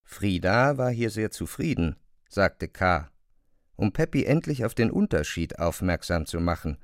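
Low-voiced man, lively literary narrator, dry sound. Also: measured pace, dry recording. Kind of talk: literary radio drama narration.

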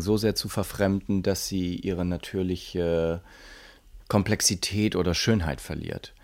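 A man's voice: low voice, darkish tone. A man giving a conversational interview statement, explaining his work in radio drama sound.

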